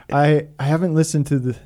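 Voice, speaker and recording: A man's voice: a little high, fairly even intonation, normal speed, mellow. A young priest co-hosting a podcast, teasing his partner and rambling with many filler words. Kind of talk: casual chat.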